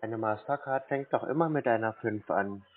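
A man's voice: fairly low pitch, normal speed, phone-quality sound. A man speaking as a naive, confused, literal-minded customer in a phone conversation. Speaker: scambaiter playing a naive customer. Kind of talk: slow, bumbling phone conversation. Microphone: telephone call.

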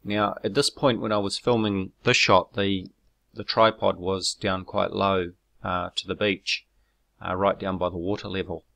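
New man, very low gravelly voice, explanatory voice-over with ums, argumentative edge. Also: steady tone, fairly bright tone. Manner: measured and explanatory, with frequent ums and self-corrections; argumentative when rebutting critics. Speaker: video creator explaining his own footage. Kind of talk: narrated screen walkthrough.

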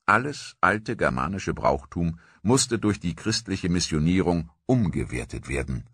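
A man narrating a history documentary from a script in a measured, grave storytelling manner. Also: low-pitched, big pitch swings, a bit bright, husky, dry recording.

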